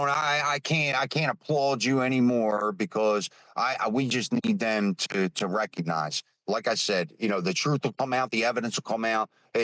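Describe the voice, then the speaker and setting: A man's voice: mid-range voice, a bit bright, dry recording, rough recording. 50s guy on a live stream, keeps restarting, lots of uh and you know, dry close sound. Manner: halting, repeats words, many fillers. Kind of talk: casual opinion talk.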